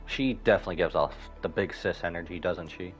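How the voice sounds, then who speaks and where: A man's voice: low voice, fast. A man giving casual live commentary as he streams a game. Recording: dull audio over game music. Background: game music.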